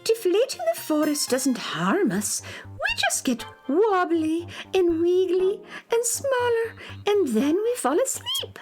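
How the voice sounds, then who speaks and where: Very high, kindly pixie. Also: female voice, sing-song, takes it slowly, faint music behind, full character voice. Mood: calm, surprised. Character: kindly, chirpy female forest pixie. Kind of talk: children's radio drama acting.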